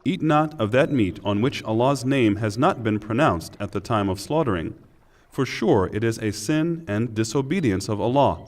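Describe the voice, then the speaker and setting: A man narrating a scripted scripture translation in a measured, formal and solemn manner. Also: mid-range voice, animated, a little mellow. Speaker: narrator reading Quran translation.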